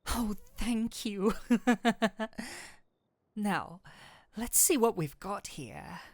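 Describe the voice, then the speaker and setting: A woman voicing a flirtatious incubus demon who is secretly an excitable nerd, in a scripted roleplay with theatrical, formal phrasing that slips into flustered gushing. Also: mid-range voice, very slow, no echo, heavily acted. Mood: reluctant, tempted. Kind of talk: scripted roleplay audio addressed to the listener.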